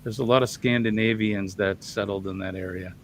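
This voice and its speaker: A man co-hosting a casual chat, easygoing and hesitant with many pauses. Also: on the low side, fairly even intonation, a little hiss or hum. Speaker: co-host.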